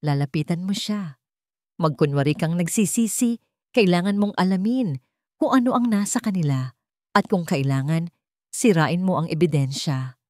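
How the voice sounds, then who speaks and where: A woman's voice: on the low side, lively intonation, moderate pace, long pauses, a little mellow, no room sound, clean studio sound, close to an everyday voice. A woman voicing an angry, scheming, greedy man who commands and threatens in a dramatic audio story. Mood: commanding.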